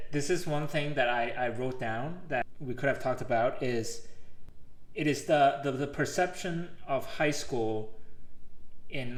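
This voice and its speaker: Young guy from hong kong, podcast co-host, laid back and thoughtful, mid pitch, echoey room. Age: young adult. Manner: relaxed, thoughtful, often restarts and laughs. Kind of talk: casual chat.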